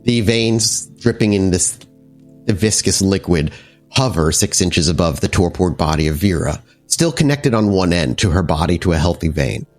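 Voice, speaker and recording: A man narrating an improvised tabletop game as game master, descriptive and somewhat halting. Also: low-pitched, lively intonation, darkish tone, faint music behind.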